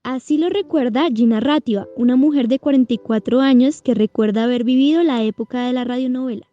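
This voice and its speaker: High woman narrator, dull recording. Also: brisk. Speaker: documentary narrator. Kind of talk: scripted narration.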